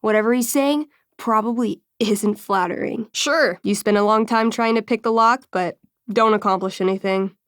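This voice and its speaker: A woman's voice: a little high, moderate pace, a bit bright, clean tone, dry recording, clean studio sound, close to an everyday voice. An actress playing an enthusiastic young woman game master in a video game, moving between theatrical storytelling and casual, wry asides. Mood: narrating, wry. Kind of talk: video game dialogue, dramatic tabletop narration.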